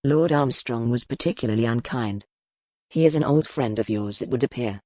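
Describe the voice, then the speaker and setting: A woman's voice: rumbling low, moderate pace, darkish tone, no echo, voice pushed down. A woman voicing a weary medieval duke, terse, formal and guarded, in audiobook character dialogue. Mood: guarded accusing. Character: weary, curt medieval duke, a soldier husband.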